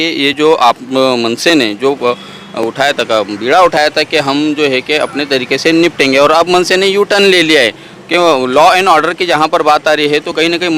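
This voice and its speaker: Indian guy reporter asking pointed questions on the street, bit high pitched, some traffic noise behind. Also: a bit bright. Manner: probing, challenging questions. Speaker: news reporter interviewing people. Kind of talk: on-the-spot news interview questioning. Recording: outdoor street noise, passing vehicles.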